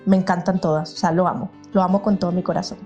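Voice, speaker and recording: A woman's voice: on the low side, fairly even intonation, quick pace, dull audio, soft background music. A woman in her thirties sending a recorded testimonial about her favorite singer, gushing with fillers. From Venezuela. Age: 30s. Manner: warm, gushing fan talk with fillers. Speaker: listener sending a voice message.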